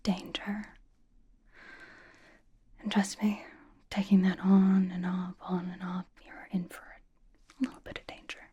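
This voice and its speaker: A woman voicing a blunt but cozy young female pop star in a breathy, casual, halting ASMR whisper, doting on the listener. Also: on the low side, flat delivery, warm tone, lo-fi sound. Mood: coaxing, playful. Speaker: ASMR roleplay performer. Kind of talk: whispered ASMR personal-attention roleplay.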